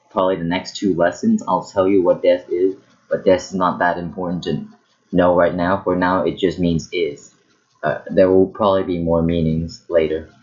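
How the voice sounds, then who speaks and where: A man's voice: sits low, darkish tone, lo-fi sound. A young man teaching a casual online language lesson, rambling and joking, correcting himself as he goes. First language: not Japanese. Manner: rambling, self-correcting, jokey.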